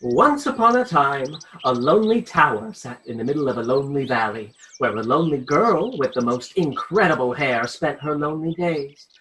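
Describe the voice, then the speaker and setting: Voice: mid-range voice, lively intonation, normal speed, few pauses, fairly bright tone, lightly acted, low-quality recording. An actor playing a stuffy, controlling, easily flustered fairy-tale narrator in an irreverent radio play. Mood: storytelling. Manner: theatrical, insistent, flustered when challenged.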